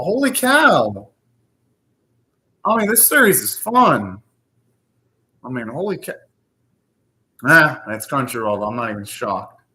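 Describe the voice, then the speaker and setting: A man's voice: fairly high pitch, pushed and bright. A young man hosting a live anime reaction, talking casually, ranting and swearing now and then.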